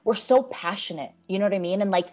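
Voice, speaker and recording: A woman's voice: medium pitch, fast talker, darkish tone. Passionate, emphatic woman from New York. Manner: passionate, emphatic, spiritual self-help talk. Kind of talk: casual podcast conversation.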